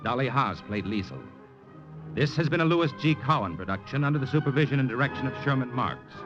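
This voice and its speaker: A man's voice: medium pitch, expressive, brisk. A man announcing from a script in formal 1940s radio style. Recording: dull vintage radio broadcast, music behind.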